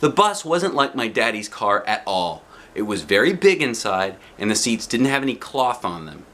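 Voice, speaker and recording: A man's voice: fairly low pitch, big pitch swings, normal speed, bright, forceful tone. A man reading a children's storybook as an anxious, outspoken little kindergarten girl, with blunt, emphatic childlike phrasing. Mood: matter-of-fact, opinionated.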